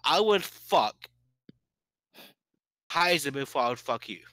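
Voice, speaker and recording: A man's voice: mid-range voice, animated, slow pace, sharp tone, no echo, clean studio sound. A man in a heated online voice-chat argument, aggressive and insulting, swearing constantly. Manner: aggressive, insulting, heavily profane. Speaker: debate stream participant.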